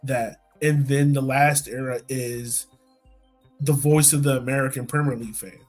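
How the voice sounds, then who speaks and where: A man's voice: mid-range voice, fairly even intonation, normal speed, a little mellow. Casual man hosting over faint music. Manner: informal, frequently checks in with "right" and "like". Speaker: podcast host. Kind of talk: casual sports chat.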